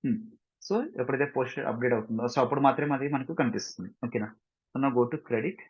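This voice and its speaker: Fast-talking Indian man giving a tutorial. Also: medium pitch, steady tone, breathy edge, highs cut off. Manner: repetitive, frequent check-in questions, mixes English terms into speech. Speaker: software tutorial instructor. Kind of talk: screen-share tutorial, informal explanation.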